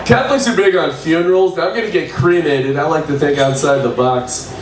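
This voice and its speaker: A man in his sixties doing a stand-up set at an open mic, delivering dry, deadpan one-liners. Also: a little high, moderate pace. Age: 60s. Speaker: stand-up comedian. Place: open mic comedy venue. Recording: echoey room, distant mic, background noise.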